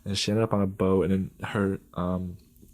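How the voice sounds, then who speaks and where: A man's voice: low-pitched, little pitch movement, moderate pace, darkish tone. A young man, a podcast guest, talking casually and rambling with many fillers and frequent laughs. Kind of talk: casual conversation.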